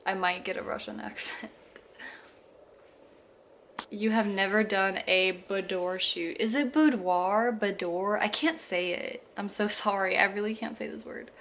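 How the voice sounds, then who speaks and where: A young woman in her twenties talks straight to camera in a loose, chatty way. She keeps stopping to restart her sentences and laughs a little along the way. Her voice sits at an ordinary pitch, and there is a faint background hiss. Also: steady tone, measured pace, fairly bright tone. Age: 20s. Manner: chatty, many fillers and restarts, frequent laughs. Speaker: vlogger. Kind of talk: casual storytime chat.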